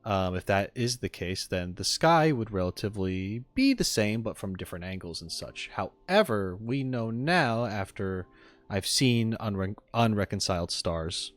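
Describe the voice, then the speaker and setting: A man's voice: on the low side, expressive, a little slow. A man giving casual, off-the-cuff streamer commentary, musing and thinking aloud as he goes. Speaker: streamer reacting to game trailers and voice lines.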